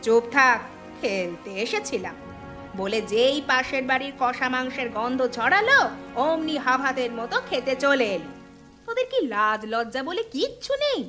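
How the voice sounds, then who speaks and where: A woman's voice: high-pitched, sing-song, moderate pace, bright, forceful tone, soft background music, full character voice. A woman voicing a mean village aunt in a cartoon, scolding and sneering. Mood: scornful, angry. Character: mean, scornful village aunt, doting only on her son. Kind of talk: cartoon story dialogue.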